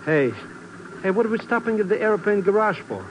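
An actor playing an excitable, bumbling male sidekick in a 1950s radio drama, nervous, repetitive and given to stammering. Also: high voice, brisk, lo-fi sound. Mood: boastful, chatty. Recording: noisy, crackly 1950s radio recording.

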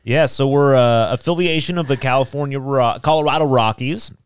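A man's voice: mid-range voice, fairly quick, smooth, dry recording, studio quality. A man in a casual group chat, explaining things in a conversational way as a talk show panelist. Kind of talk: casual group chat about local sports.

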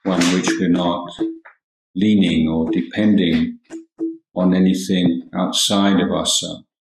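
A deep-voiced man speaks as a Buddhist meditation teacher in an echoing room. His delivery is calm and extremely unhurried, with long pauses between short, measured phrases. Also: vocal fry, music in the background. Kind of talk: guided meditation talk. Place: indoor room at a monastery. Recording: roomy, echoing hall sound.

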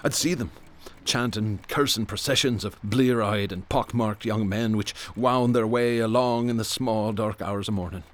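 A man's voice: on the low side, normal speed, hardly any pauses, close to an everyday voice. A man playing a brooding, bookish Irish police detective who speaks in ornate, literary phrases in a radio drama. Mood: haunted.

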